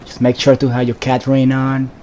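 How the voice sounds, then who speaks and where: A man talks through a video game in a casual, instructive way, speaking straight to the viewer. His voice is mellow and dark, with very little rise and fall. Faint game ambience and background noise sit behind him. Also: mid-range voice, completely flat delivery, moderate pace. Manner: instructive, addresses viewers directly. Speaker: gaming video host. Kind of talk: casual solo gameplay commentary.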